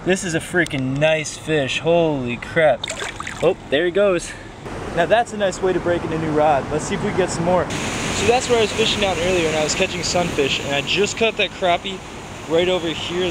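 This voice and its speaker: A young man chatting casually to a head-mounted camera while fishing, talking excitedly to the viewers and to the fish he catches. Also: on the high side, moderate pace. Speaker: fishing vlogger. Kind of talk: casual first-person commentary while fishing. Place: outdoors by a pond and dam. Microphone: head-mounted action camera. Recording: outdoor ambience, wind and water noise.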